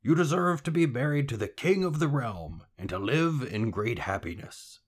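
A man playing a cunning, eager old weaver father in a storybook, wheedling and excitable. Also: medium pitch, normal speed, breathy edge, pitched above the performer's own voice. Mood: doting.

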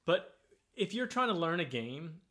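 A man's voice: sing-song, measured pace, bright, forceful tone. A man hosting a board game podcast in casual chat, sharing one microphone with his guest and laughing at his own jokes. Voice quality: higher-pitched than his co-host, by his own account. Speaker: podcast host.

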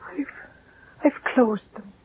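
A woman's voice: takes it slowly, slight background noise, close to an everyday voice. Tinny recording, grieving old mother played by a woman. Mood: horrified. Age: older. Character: grieving old Irish mother, devout and distraught. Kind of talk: old-time radio drama narration and dialogue.

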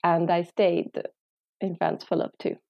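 A woman's voice: fairly low pitch, level tone, a little slow, gravelly, no echo, muffled recording. A young woman, a guest on an interview who talks in a chatty way, laughs easily and makes small restarts. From England. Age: young adult. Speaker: interview guest, English teacher.

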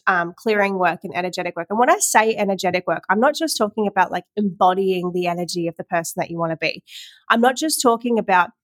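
A woman's voice: mid-range voice, no echo. A young woman, a women's empowerment coach, hosts her own podcast in a casual, earnest solo chat, sounding slightly nasal. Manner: chatty, earnest, loose with fillers and restarts. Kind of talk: casual solo podcast talk, streamed live.

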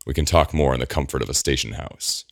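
An imperious male ruler who growls his commands, as a man reading an audiobook puts him on. The voice is very deep and gravelly, close to the reader's own, and recorded dry. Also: fast talker, no echo, full character voice.

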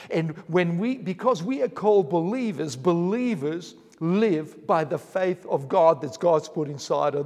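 A man's voice: sits high, a little mellow, in a large hall. An Australian man preaching a fervent sermon as pastor of his church. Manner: fervent, repetitive preaching cadence. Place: church hall.

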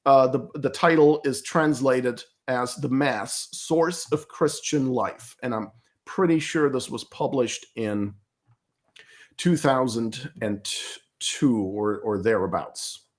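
A man's voice: mid-range voice, slow pace. Emphatic man. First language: German. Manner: emphatic, argumentative, often repeats words as he searches. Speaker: guest commentator. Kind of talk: interview commentary.

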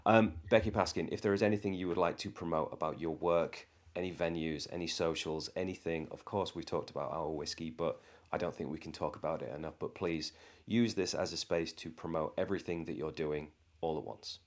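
A man's voice: deep voice, fairly even intonation, fairly quick, hardly any pauses. A man hosting a podcast interview, friendly and teasing, with long rambling questions. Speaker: podcast host.

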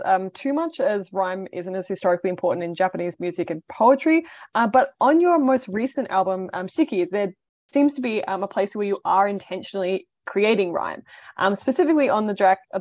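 A woman's voice: medium pitch, expressive, brisk, no room sound. Careful female co-host, dull dry audio. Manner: careful, many "um"s while reading prepared questions. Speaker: podcast co-host. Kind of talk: prepared interview questions.

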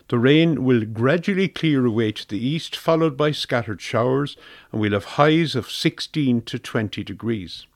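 An Irish man reads a weather forecast from a script for community radio. His voice sits at an average male pitch, recorded dry with hardly any room around it. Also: no echo.

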